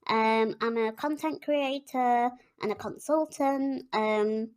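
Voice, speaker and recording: West Yorkshire woman, slightly high voice. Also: slow, smooth. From West Yorkshire, England. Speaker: podcast guest, content creator and consultant. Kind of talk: casual interview chat.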